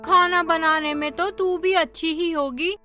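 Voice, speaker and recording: A woman's voice: very high-pitched, fairly even intonation, unhurried, sharp tone, faint music behind, heavily acted. A woman voicing a nosy, goading village auntie who gossips in a cartoon story.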